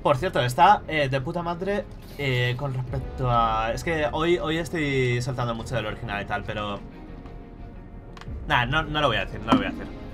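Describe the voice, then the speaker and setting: A man's voice: mid-range voice, normal speed. A young man from Spain commentating on his own gameplay at home, swearing freely and muttering to himself as he plays, on a headset mic. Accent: Spain Spanish. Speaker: streamer. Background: game music.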